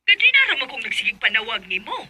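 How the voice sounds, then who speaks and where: Radio drama role: nagging, meddling Cebuano Filipino mother, insistent complainer with a very sharp, forceful edge. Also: on the high side, wildly swooping pitch, quick pace, breathy edge, heavily acted. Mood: complaining. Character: nagging, meddling older mother-in-law stirring up trouble. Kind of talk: Bisaya radio drama acting.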